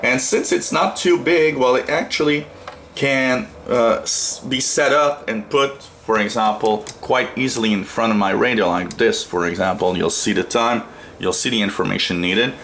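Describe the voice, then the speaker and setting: A man's voice: medium pitch, normal speed, fairly bright tone, a little hiss or hum. A man from Canada giving a casual, unscripted hobby product tutorial, talking in a hesitant, rambling way. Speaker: shortwave radio hobbyist presenting a product. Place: small indoor room at a radio desk.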